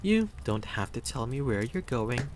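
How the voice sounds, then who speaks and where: Man putting on a higher, cheeky young traveler. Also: big pitch swings, normal speed, slight background noise, lightly acted. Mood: teasing, earnest. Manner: playful, teasing, lilting.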